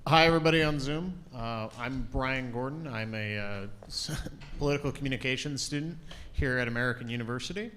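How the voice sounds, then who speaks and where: A young man, a student and army veteran, introducing himself loudly and casually in a meeting room. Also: mid-range voice, a little fast, slight background noise. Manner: loud, casual, friendly.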